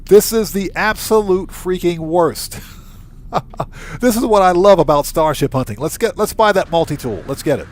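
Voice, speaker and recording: A man with a somewhat high voice hosts a casual gameplay livestream. His intonation swings widely as he comments on play, speaking in exclamations and repeating short phrases for emphasis. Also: expressive, normal speed. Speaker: livestream host.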